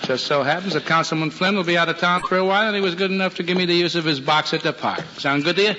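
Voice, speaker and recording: A blustery, genial American father in an old radio play, voiced by a man. His voice sits a little high, gruff and folksy in manner. The recording is dull, with heavy noise. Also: rapid-fire, close to an everyday voice. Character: gruff but genial middle-aged widower father. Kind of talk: old-time American radio drama acting.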